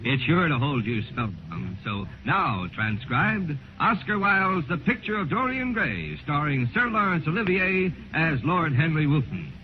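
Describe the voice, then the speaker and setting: A man's voice: a little high, sing-song, measured pace, few pauses, muffled recording, noisy background. A man hosting a 1950s radio mystery program, giving a formal, dramatic scripted introduction. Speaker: radio show host. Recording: band-limited 1950s radio recording. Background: music.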